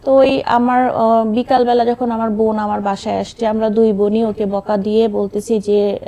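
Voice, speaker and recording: A middle-aged woman with a Bangladeshi accent speaks in a very mellow, somewhat high voice. She tells a personal story in conversational language, acting as her own narrator and quoting what was said. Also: little pitch movement, moderate pace. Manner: quotes remembered dialogue. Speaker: interview guest, bereaved mother.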